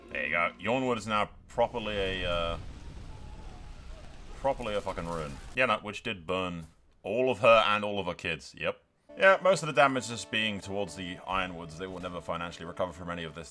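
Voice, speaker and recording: A man's voice: lowish voice, sharp tone. A man giving casual, sweary gameplay commentary as a gaming YouTuber, chatting to his viewers in a blokey way.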